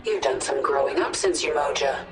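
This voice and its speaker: A woman's voice: low voice, lively intonation, moderate pace. An actress playing a cold, imperious alien queen in a video game, speaking tersely and with authority. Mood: impatient resolve. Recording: echoing voice over game music.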